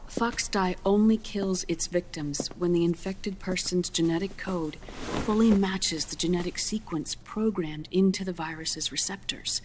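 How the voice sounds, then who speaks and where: A woman playing a grave scientist in a video game, explaining a dire diagnosis in a measured, solemn, clinical manner. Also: lowish voice, darkish tone, slight background noise, lightly acted, poor audio. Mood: explanatory, urgent. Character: grave female scientist gently delivering a dire prognosis. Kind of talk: dramatic video game cutscene acting.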